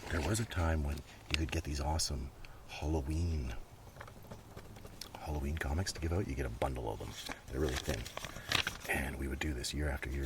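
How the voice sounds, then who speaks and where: A man with an extremely low, crackly, fry-heavy voice rambles quietly in an ASMR show-and-tell. He murmurs at an unhurried pace and sometimes slips toward a whisper. He is recorded outdoors, with clear breezy ambient noise around him. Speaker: ASMR video host.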